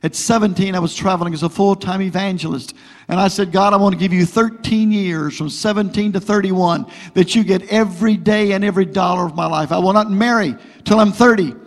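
A middle-aged man preaching a sermon to a congregation, repeating phrases and building to loud, rising exhortation. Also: high voice, a little fast. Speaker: guest preacher. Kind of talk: pentecostal sermon with prayer. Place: church sanctuary. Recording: long reverb of a church hall.

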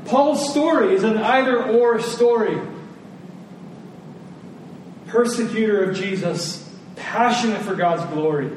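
A roomy, slightly noisy recording of a man delivering a sermon. He is a pastor with a high-set voice, earnest and emphatic, repeating his key phrases for weight. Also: takes it slowly, long pauses. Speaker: pastor preaching to his church. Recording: roomy, distant mic, faint noise.

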